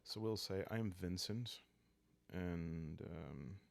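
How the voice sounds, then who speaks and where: A man with a calm, creaky voice hosting a relaxed solo roleplaying game, musing aloud in an unhurried, hesitant way. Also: low voice. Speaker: host of a solo roleplaying playthrough. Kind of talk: relaxed ASMR game narration, thinking aloud.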